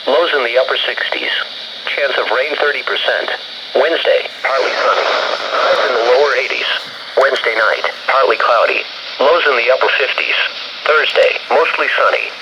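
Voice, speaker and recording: A man's synthetic announcer voice at a middle pitch reads a scripted weather bulletin through heavy radio noise. The delivery is measured and flat, built from short, separate phrases. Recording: very noisy weather radio broadcast.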